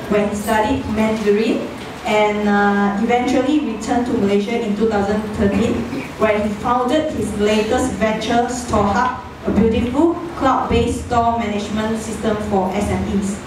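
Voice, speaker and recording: Woman introducing the next speaker, steady and formal, lots of room echo and noise. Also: on the high side, normal speed. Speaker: event host introducing a speaker. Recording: echoey hall, mic far off, noisy.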